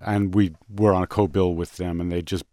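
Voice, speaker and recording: A man's voice: sits low, fairly even intonation, a little fast, dark tone, no room sound, clean studio sound. A man hosting a music show, chatting casually and introducing songs in an enthusiastic, rambling way, as if thinking aloud.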